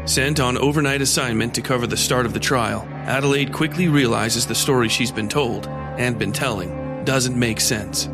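Male host-narrator for dark fiction, measured and dramatic, clear music bed of plucked guitar. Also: medium pitch. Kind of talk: scripted narration, dark fiction podcast promo.

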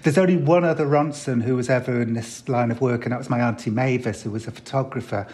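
A man's voice: medium pitch, fast, a little mellow. A middle-aged man from Wales, a guest in a casual chat, telling rambling, self-deprecating anecdotes and chuckling as he goes. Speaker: interview guest.

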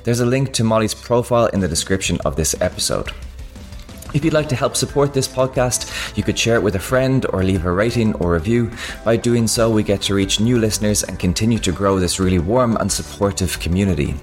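An Irish man in his young adult years hosts a podcast with a notably deep, low voice. He speaks in an earnest way, sometimes hesitating and restarting his sentences with fillers. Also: fairly quick, soft background music. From Ireland. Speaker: podcast host. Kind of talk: conversational podcast interview.

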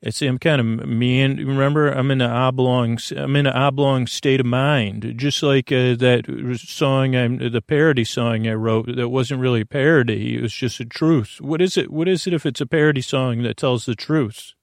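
A man with a creaky voice hosting a sleep podcast, meandering through halting, self-deprecating tangents. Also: mid-range voice, steady tone, no echo, studio quality. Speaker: podcast host. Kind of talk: rambling bedtime sleep podcast monologue.

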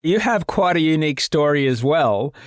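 A man's voice: fairly high pitch, animated, normal speed, no echo. Man interviewing on a dry close mic. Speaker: podcast host. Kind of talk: interview conversation.

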